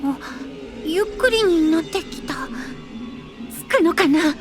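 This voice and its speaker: Very high, chirpy little boyish companion. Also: female voice, expressive, slow pace, music in the background, exaggerated voice. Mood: puzzled. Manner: childlike, chirpy, frank. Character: small, excitable, timid boyish fantasy companion. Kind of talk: Japanese anime-style audio drama acting.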